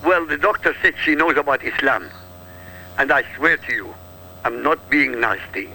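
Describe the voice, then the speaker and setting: A man's voice: mid-range voice, animated, normal speed, creaky, pushed and bright, slight background noise, rough recording. A man from Iraq talking on a political talk radio show, emphatic and combative, in punchy short sentences.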